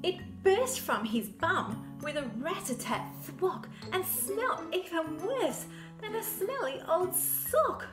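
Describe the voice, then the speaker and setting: A woman's voice: very high voice, expressive, takes it slowly. A woman author reading a children's storybook aloud with dramatic, playful expression. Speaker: author reading own book. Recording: roomy echo, music behind.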